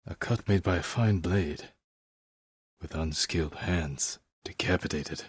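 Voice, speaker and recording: A stoic samurai warrior, speaks in a low voice with a husky edge. The delivery is measured, solemn and restrained, with a determined, honorable bearing. The recording is close and dry. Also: male voice, no echo, heavily acted. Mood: quiet, brooding. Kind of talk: dramatic video game voice acting.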